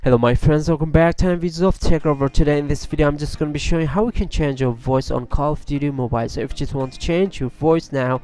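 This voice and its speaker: A man hosting a casual software tutorial as a voice-over on a USB microphone. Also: on the high side, fast, hardly any pauses, clear, resonant tone. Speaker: tutorial video host.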